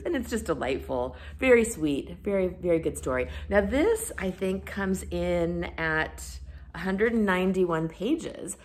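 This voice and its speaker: A woman speaks in a voice pitched a little lower than usual. She talks casually, as a book reviewer addressing her viewers. Her manner is effusive and informal, with a self-deprecating streak. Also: a little mellow. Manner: enthusiastic, effusive. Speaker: book reviewer and video host. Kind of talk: casual chat to camera about books.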